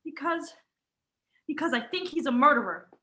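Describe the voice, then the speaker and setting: A woman acting high, bright, frightened radio-mystery heroine. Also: lively intonation, moderate pace. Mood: urgent, accusing. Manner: urgent, emphatic, melodramatic. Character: frightened, suspicious young woman, earnest and insistent. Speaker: student actor.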